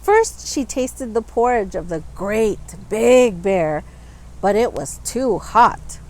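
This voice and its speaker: Female storytime reader, lively intonation and unhurried delivery, clearly stressing key words for small children. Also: medium pitch, low-quality recording. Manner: expressive, deliberate, stressing and stretching words for young listeners. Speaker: storytime reader, teacher reading a picture book aloud. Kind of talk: children's storybook read-aloud with asides about the pictures.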